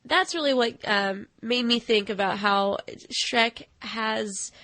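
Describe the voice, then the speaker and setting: A woman's voice: fairly high pitch, slow pace, sharp tone, dry recording. A woman co-hosting a film podcast, chatting in a loose, conversational storytelling way peppered with "like". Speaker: podcast co-host reviewing animated films. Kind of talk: casual chat.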